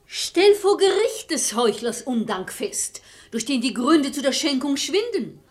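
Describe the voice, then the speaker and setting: A woman's voice: high-pitched, big pitch swings, unhurried. An actress playing a vehement, indignant woman of a deceived family in a verse radio play.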